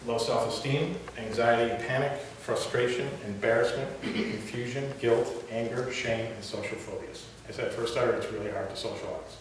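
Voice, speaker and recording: A man's voice: mid-range voice, fairly even intonation, moderate pace, few pauses. A young man giving a prepared speech to a club audience, with occasional stutters and restarts. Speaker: club speaker. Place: meeting room. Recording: echoey room from far off, hiss.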